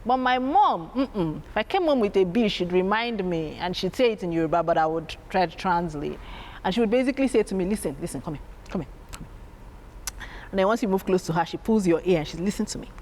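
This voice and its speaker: A woman with a strong, loud voice, telling stories about her family as an interview guest, animated and laughing as she acts out her parents. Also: mid-range voice, a little fast, slight background noise. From Nigeria. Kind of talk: candid storytelling.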